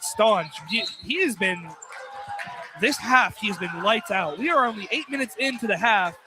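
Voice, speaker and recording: Male play-by-play announcer for live college basketball, very high-pitched voice over a clearly noisy gym broadcast. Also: expressive, moderate pace. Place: college field house during a game.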